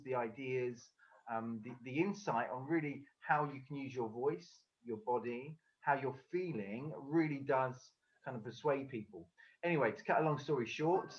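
A man's voice: mid-range voice, muffled recording. A man from England, a speaker coach, talking casually as a podcast guest over a video call, with many fillers and restarts. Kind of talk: casual conversational interview.

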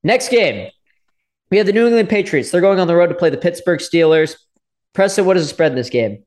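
A congested man with a slightly high voice talks through his football picks. He hesitates and restarts his sentences as he goes. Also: animated, brisk, darkish tone. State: congested from allergies. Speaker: podcast co-host. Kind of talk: casual sports chat.

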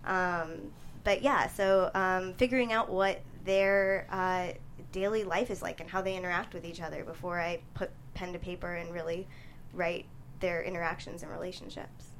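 A woman with a slightly low voice gives casual interview answers as a screenwriter. She speaks haltingly and thoughtfully, feeling out her phrasing as she goes. There is faint noise behind her. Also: fairly even intonation. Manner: hesitant, thoughtful, halting delivery. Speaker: screenwriter guest being interviewed.

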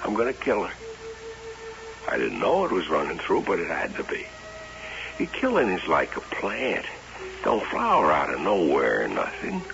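A man's voice: sits low, huge pitch swings, moderate pace, vocal fry, pauses often, full character voice. An actor playing an obsessive male carnival doll-maker in a radio drama, brooding and dreamy. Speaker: carnival barker. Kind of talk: 1970s radio drama acting. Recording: dull old radio broadcast with music.

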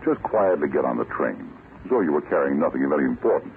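An actor playing a deliberate, authoritative senior army general in a 1940s radio drama, with a gravelly voice. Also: male voice, extremely low pitch, big pitch swings, exaggerated voice. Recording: muffled, hissy vintage radio recording.

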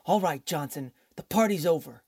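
A man's voice: on the high side, animated, moderate pace, background noise. An actor playing a tough, impatient young male lawyer in an old-time radio drama, speaking huskily and urgently. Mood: menacing.

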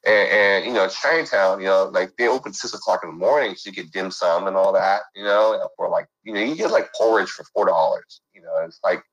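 Man, middle-aged, very low voice, rambling casual interview guest, lots of fillers, slightly muffled sound. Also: brisk, hardly any pauses, a bit bright, husky. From San Francisco, United States. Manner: rambling, with many fillers and restarts.

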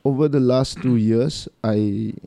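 Hoarse, chatty Malaysian man in his twenties. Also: mid-range voice, unhurried, mellow, no echo. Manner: chatty, laughs easily. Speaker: guest. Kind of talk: casual podcast chat.

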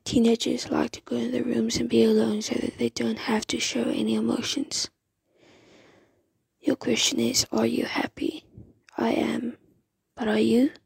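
A teenage girl whispering a voice memo into her phone in a breathy, husky voice. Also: a little high, little pitch movement, measured pace, long pauses, a little mellow, no room sound, clean studio sound. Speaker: listener sending a voice memo.

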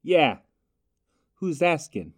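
A man's voice: fairly high pitch, sing-song, drawn-out words, no echo, studio quality, full character voice. A man acting a sensible, anxious dinosaur sidekick, an exasperated straight man in a comedic radio drama. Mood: dejected.

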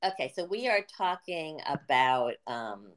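A woman's voice: deep voice, lively intonation, unhurried. A female business coach hosting a podcast, explaining marketing earnestly with a chatty, um-filled delivery. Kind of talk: casual podcast chat.

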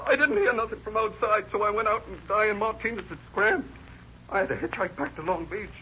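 An actor playing a scared American crook, insistent and rough-spoken, in a 1930s radio crime drama style. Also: male voice, high voice, fairly quick, pushed and bright, exaggerated voice. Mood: anxious, insistent. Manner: insistent, pleading, rough uneducated speech. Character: scared young male crook giving a defensive confession. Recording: noisy, muffled old-time radio recording.

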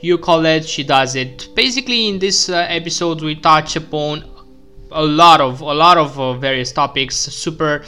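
A man's voice: a little high, moderate pace, pushed and bright, clean tone, faint music behind. A man hosting a podcast, upbeat with lots of fillers. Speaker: podcast host. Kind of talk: podcast intro and interview.

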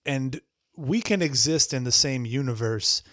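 A man with an American accent and a middling pitch co-hosts a podcast. He is irreverent and slangy, joking casually with friends, with a dry, echo-free sound. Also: unhurried, a bit bright. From Delaware, United States. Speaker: podcast co-host.

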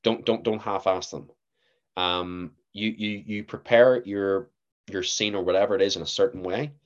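A man in his twenties with a Northern Irish accent, an actor chatting as a podcast guest, lively and acting out what others said to him. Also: on the low side, moderate pace, lo-fi sound. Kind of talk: casual interview chat.

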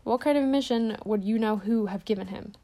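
Storybook role: woman reading skeptical teen boy, blunt, offhand, gravelly. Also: on the high side, brisk, dark tone, close to an everyday voice.

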